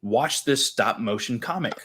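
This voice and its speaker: A man's voice: mid-range voice, expressive, measured pace, fairly bright tone, slightly rough. A man co-hosting a podcast, chatting in an easygoing, agreeable way. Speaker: podcast co-host. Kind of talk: casual podcast chat.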